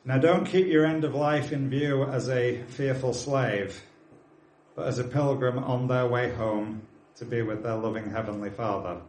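A man's voice: medium pitch, steady tone, measured pace, darkish tone, reverberant. A man of forty with a British accent, preaching a sermon, earnestly urging his congregation and dropping in plain, friendly asides. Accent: British English. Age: 40s.

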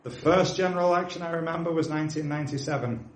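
Earnest British preacher in an echoey hall. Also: male voice, fairly high pitch, quick pace. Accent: British English. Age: 40s. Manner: earnest, exhorting, with plain asides to the congregation. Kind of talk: sermon.

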